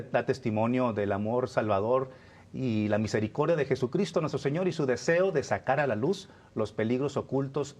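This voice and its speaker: A man's voice: on the low side, darkish tone. A man presenting a religious program in a conversational way, addressing his guests and viewers directly.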